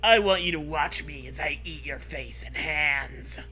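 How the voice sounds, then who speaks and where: A man voicing an angry, gleeful dragon that is really a dog, loud and taunting, in a comic story. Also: fairly high pitch, unhurried. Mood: menacing glee.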